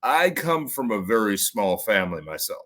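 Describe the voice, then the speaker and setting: A man with a bassy voice, a podcast guest in casual conversation, halting with many fillers and restarts. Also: big pitch swings, a bit bright, slight background noise.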